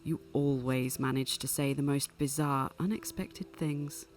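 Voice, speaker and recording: A man's voice: mid-range voice, steady tone, measured pace, close to an everyday voice. An actor playing a stern, sceptical young male student in an audio drama, prim and wordy. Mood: exasperated, scolding. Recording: music under the voice.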